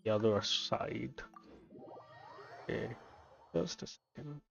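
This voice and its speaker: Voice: medium pitch, animated, takes it slowly, vocal fry, muffled recording. A video game streamer giving casual playthrough commentary, offhand and muttering to themselves. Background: game music.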